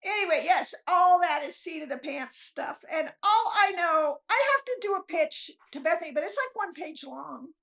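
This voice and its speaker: A woman's voice: very high voice, animated, moderate pace, creaky, hardly any pauses, fairly bright tone. An older woman, chatting casually in an interview in a rambling, self-deprecating, chuckling way. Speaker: guest author.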